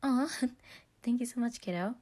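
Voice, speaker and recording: A woman voicing a friendly, excitable giant man in a story, gushing and stammering when flustered. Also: on the high side, unhurried, pitched above the performer's own voice. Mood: cheerful, welcoming.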